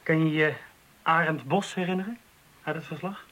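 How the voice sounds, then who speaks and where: A man's voice: fairly high pitch, moderate pace, a bit bright, dull audio, lightly acted. A man playing a dry, mocking police officer in a Dutch radio play, talking in a colloquial, teasing way. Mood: exasperated, mocking. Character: dry, mocking male police colleague of the inspector.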